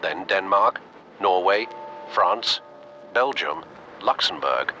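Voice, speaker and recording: An actor playing a grave wartime documentary narrator in a video game, portentous and deliberate. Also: male voice, low-pitched, expressive, pushed and bright, a little hiss or hum, exaggerated voice. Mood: grave, dramatic. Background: music and battle sounds.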